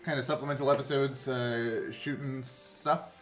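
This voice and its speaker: Casual man with a bright voice. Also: mid-range voice, fairly even intonation, normal speed, soft background music. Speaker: podcast host and game master. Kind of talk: casual chat about a recorded tabletop game show. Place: indoors, in one room with the co-hosts.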